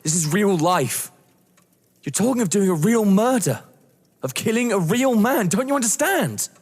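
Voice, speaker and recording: An actor playing a nervous, pleading young lover in an audio drama, incredulous and afraid. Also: male voice, high voice, sing-song, moderate pace, breathy edge, full character voice. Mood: desperate pleading. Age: young adult. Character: frightened, pleading young lover of novelist's wife. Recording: reverberant hall-like room.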